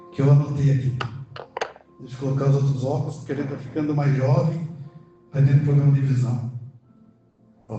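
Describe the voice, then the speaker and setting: A man's voice: mid-range voice, muffled recording. A middle-aged man with a Brazilian accent preaching a conversational sermon, emphatically asking questions and answering them himself. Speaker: preacher, church elder. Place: indoor church service, music in the background. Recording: very echoey church hall, music behind.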